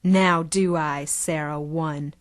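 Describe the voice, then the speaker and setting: A woman's voice: sits low, fairly even intonation, slow pace, no room sound. An actress playing a cool, self-possessed, seductive woman of the stage in an audio drama, theatrical and self-assured. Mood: cool, catty.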